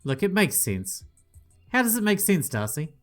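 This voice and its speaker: A man's voice: high-pitched, very animated, moderate pace, a bit bright, faint music behind. Playful man reading a visual novel aloud. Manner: playful, swearing asides, voices each character. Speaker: gaming channel host.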